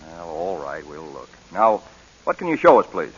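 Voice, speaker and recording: An actor playing a brash, blustering young husband with a husky voice in a 1940s radio drama. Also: male voice, low voice, very animated, normal speed, heavily acted. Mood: wry, bossy. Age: young adult. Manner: wisecracking, blustering. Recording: muffled old radio broadcast.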